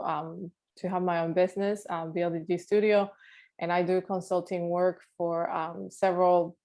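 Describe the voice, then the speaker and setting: A woman's voice: fairly low pitch, darkish tone. A woman in her thirties from Puerto Rico, an architect talking about her life on a video call, laughing often and using many fillers. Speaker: guest alumna, architect.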